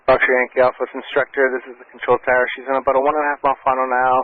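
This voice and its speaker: A man working as an air traffic controller, giving calm, reassuring step-by-step instructions over aviation radio. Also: medium pitch, rapid-fire. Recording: muffled, band-limited aviation radio transmission.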